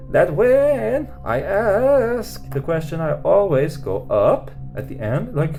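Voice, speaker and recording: Rambling man teaching over guitar music. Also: dark tone. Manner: rambling, many fillers and restarts, rising pitch on questions. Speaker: meditation teacher. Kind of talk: unscripted teaching talk. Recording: music playing under voice.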